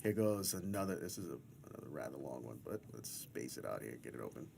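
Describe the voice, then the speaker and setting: A man's voice: very deep voice, sing-song, fast, vocal fry, background noise. A man hosting a casual interview, reading out viewer comments, tripping over names and chuckling often.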